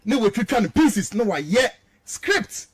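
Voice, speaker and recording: A man's voice: high-pitched, very animated, unhurried. A man with a Ghanaian accent giving heated, emphatic political commentary, mixing Twi and English and repeating phrases for stress.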